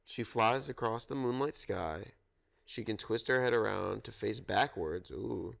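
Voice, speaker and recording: A man reads a children's book aloud in a fairly low voice with a lot of fry. He takes it very slowly, pausing to let listeners guess along with him. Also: fairly bright tone. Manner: interactive, pauses to prompt listeners for guesses. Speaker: storybook reader at a children's story time.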